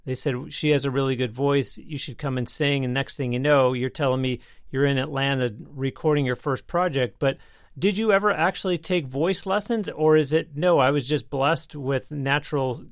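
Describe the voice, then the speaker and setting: A man leads the interview, setting up long follow-up questions at a steady, measured pace. His voice sits in a middle pitch. The recording is dry, with no room echo. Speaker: interviewer, host.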